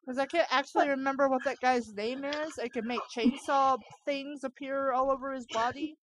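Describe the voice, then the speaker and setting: High-pitched woman, incredulous and informal. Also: steady tone, moderate pace, a little hiss or hum, poor audio. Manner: incredulous, informal, interjecting asides. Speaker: co-host reading fan fiction aloud. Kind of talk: read-aloud with baffled casual commentary.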